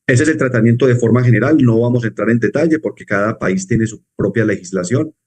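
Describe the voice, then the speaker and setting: A man working as a tax advisor, explaining rules in a measured way and answering his own questions. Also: medium pitch, a little mellow, husky. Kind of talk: explanatory conversation.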